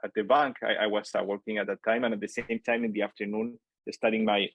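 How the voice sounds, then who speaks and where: Halting Chilean-born man, low voice. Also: little pitch movement, a bit bright. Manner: halting, many fillers and repeated words. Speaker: company founder, guest. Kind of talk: casual interview storytelling.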